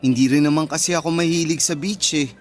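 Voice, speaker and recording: A man's voice: fairly high pitch, fairly even intonation, fast, soft background music, lightly acted. An actor playing a shy, modest man of twenty-one in a radio drama, casual and bashful. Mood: bashful, surprised. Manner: bashful, self-deprecating, casual. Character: shy, modest introverted young male office worker, twenty-one. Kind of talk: Tagalog radio drama dialogue.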